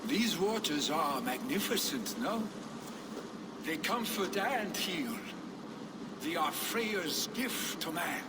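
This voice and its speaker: An actor playing a carefree, boastful young Viking warrior, the son of a jarl, with a teasing, jovial manner. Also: male voice, fairly high pitch, lively intonation, takes it slowly. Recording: game cutscene with ambient noise.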